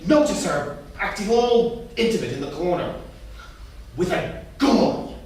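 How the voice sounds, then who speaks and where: A man performing a nervous office colleague in a theatre reading. His high voice jumps about in pitch as he haltingly repeats himself, deferential and awkward. The room is very reverberant. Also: animated, a little mellow. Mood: awkward, reluctant. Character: nervous, deferential male office colleague bearing bad news. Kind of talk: staged reading, theatrical dialogue. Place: indoor theatre at a staged reading. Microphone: distant room microphone. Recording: distant echoey theatre room, faint noise.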